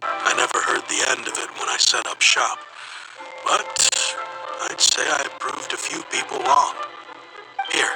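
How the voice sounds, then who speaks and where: A man voicing a reserved, wistful young man in a video game, measured and gently reflective. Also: medium pitch, natural voice, rough recording. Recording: music behind the voice.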